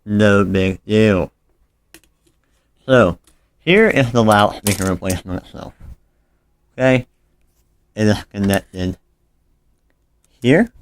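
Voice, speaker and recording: A man with a fairly low voice narrates a casual hands-on repair tutorial. He talks informally and a little apologetically while busy with his hands, and the sound is dry and close, as filmed on a handheld phone. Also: no room sound.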